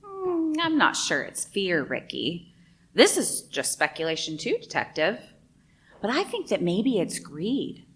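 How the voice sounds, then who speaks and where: A woman's voice: mid-range voice, huge pitch swings, unhurried. A woman playing a bitter, jealous radio host in a radio drama, theatrical and pointed in her delivery. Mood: insinuating, arch. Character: bitter, insinuating female radio host, jealous older ex-wife. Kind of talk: dramatic radio play acting.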